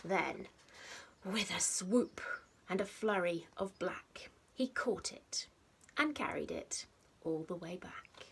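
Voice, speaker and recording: A woman reading a picture book aloud to young children like a teacher, expressively, with dramatic pauses and stressed rhymes and sound words. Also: on the low side, animated, vocal fry, slight background noise. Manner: simple explanatory asides addressed to young children. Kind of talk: animated storybook read-aloud.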